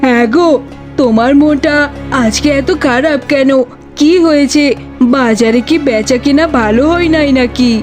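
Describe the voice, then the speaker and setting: A woman's voice: sits high, moderate pace, a little mellow, lightly acted. A woman voicing a sly, coaxing neighbour wife, an animal villainess in a children's cartoon. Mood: concerned. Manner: coaxing, conspiratorial. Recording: faint music behind the voice.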